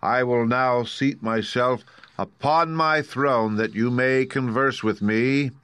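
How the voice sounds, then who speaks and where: A man's voice: medium pitch, slow pace, fairly bright tone, clear, resonant tone, no room sound, voice pushed up. A man voicing a grand, pompous invisible wizard speaking from a throne in a storybook.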